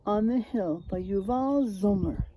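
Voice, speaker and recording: A woman reading a picture book aloud to children, teacherly and expressive, stopping to prompt them with questions and leaning on story words for drama. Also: medium pitch, a little slow.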